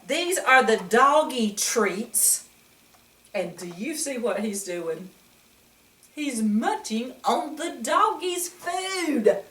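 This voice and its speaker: A woman reading a picture book aloud to children in an animated way, often putting questions to the listener. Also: a little high, very slow. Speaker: children's story reader. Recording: echoey room, distant mic.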